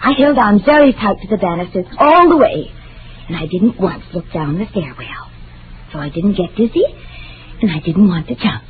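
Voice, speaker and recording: A woman's voice: mid-range voice, expressive, moderate pace, close to an everyday voice. An actress playing a childlike, lonely woman of nearly forty in an old-time radio drama, with a timid, wistful, pleading manner. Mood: anxious to please. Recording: tinny, noisy old radio recording.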